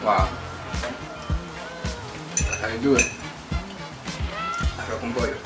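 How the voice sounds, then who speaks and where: A man's voice: mid-range voice, slow pace. Laid-back man teaching cooking, distant mic. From Puerto Rico. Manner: laid-back, chatty step-by-step instructions. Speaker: cooking show host. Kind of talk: casual cooking demonstration. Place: home kitchen. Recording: distant mic under loud music.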